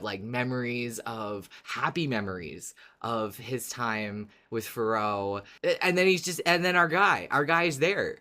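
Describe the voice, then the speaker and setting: Animated, playful podcast co-host. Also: mid-range voice. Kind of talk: casual podcast talk and episode recap.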